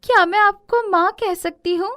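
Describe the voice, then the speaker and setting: Casting: innocent village girl, very high voice, earnest, eager, inquisitive storybook character. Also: moderate pace, a bit bright.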